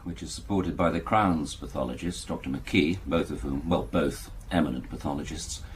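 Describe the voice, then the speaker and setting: An actor playing a formal appeal-court judge, speaking in a measured, judicial way in a radio drama. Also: male voice, very deep voice. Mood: grave, formal. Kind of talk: naturalistic radio drama acting. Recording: faint background noise.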